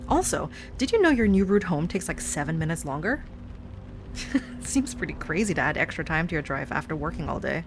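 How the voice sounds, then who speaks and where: A woman playing an obsessive, sweetly pleading ex-girlfriend in an intimate audio roleplay, speaking softly and haltingly. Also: low-pitched, sing-song, noisy background. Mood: creepy, possessive. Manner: soft, halting, wistful, with sighs and nervous little laughs. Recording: music under the voice.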